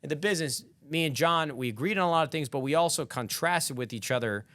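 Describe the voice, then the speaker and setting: A man's voice: a little high, expressive, fast, fairly bright tone, dry recording. A man in his thirties talking candidly on a podcast, reflective and rambling. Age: 30s. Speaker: podcast guest. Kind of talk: casual podcast chat.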